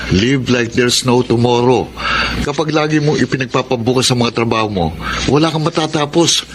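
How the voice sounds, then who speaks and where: An actor playing a driven, stubborn young workaholic in a Filipino radio drama, with a defensive manner. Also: male voice, mid-range voice, moderate pace, noisy background, close to an everyday voice. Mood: brushing off.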